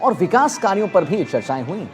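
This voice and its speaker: A man's voice: sits high, very animated, a little fast, music in the background. A man presenting live television news as an anchor.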